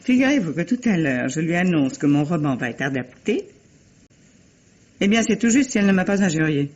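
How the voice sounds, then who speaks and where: A woman's voice: fairly low pitch, very animated, fairly quick, darkish tone. An actress playing a self-assured, teasing lady novelist in a 1950s French radio drama, with formal, theatrical diction. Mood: exasperated. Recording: dull, muffled old radio recording.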